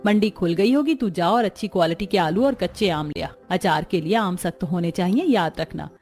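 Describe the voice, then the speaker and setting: A voice actress playing an older mother-in-law who runs the household with caring firmness, in an animated story. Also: fairly low pitch, normal speed, lightly acted. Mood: instructing. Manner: motherly, gently commanding.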